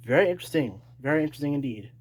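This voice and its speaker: A man's voice: on the high side, lively intonation, very fast. A man giving a casual, unscripted show-and-tell as a collector, rambling and backtracking as he goes. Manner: rambling, backtracks and repeats himself. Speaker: collector presenting weather radios. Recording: noisy home recording with clicks.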